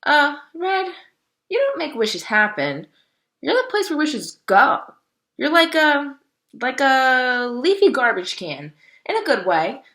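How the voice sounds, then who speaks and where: A woman's voice: high voice, big pitch swings, takes it slowly, sharp tone, voice pushed up, heavily acted. A woman voicing a sassy, skeptical female crow in a read-aloud story, blunt and teasing in a casual way.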